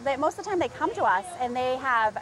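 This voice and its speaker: A woman's voice: high-pitched, fast, faint music behind. A woman, a sheriff's deputy who teaches swimming, speaking upbeat in a news interview and acting out what the kids tell her. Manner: upbeat, quotes others in lively voices. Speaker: sheriff's deputy and swim instructor.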